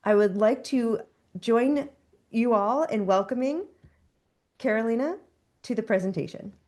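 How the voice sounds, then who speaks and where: A woman's voice: fairly high pitch, measured pace, a little mellow. A woman moderating an online webinar, reading the housekeeping reminders and the speaker introduction from a script. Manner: reads from a script.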